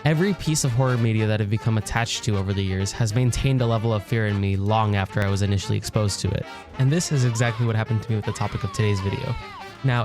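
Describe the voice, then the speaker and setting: A man narrating a scripted video essay in an informal, wry way with casual asides and mild swearing. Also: on the low side. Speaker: video essayist, channel host.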